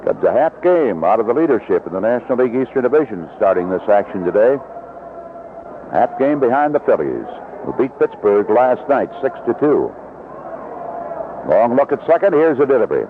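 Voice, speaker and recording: Animated baseball announcer, man, on noisy old radio. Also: mid-range voice, moderate pace. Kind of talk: live radio baseball commentary, 1970s. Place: outdoor ballpark broadcast booth. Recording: lo-fi vintage AM radio broadcast, heavy noise. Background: loud stadium noise.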